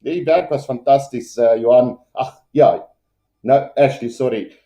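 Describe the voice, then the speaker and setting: A South African man with an Afrikaans accent hosts a casual sports livestream. His voice is mellow and relaxed, at a middling pitch, with a loose, hesitant, conversational delivery. The room around him sounds noticeably echoey. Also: unhurried. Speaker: livestream sports commentator and host. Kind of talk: casual live rugby commentary, chatting with viewers.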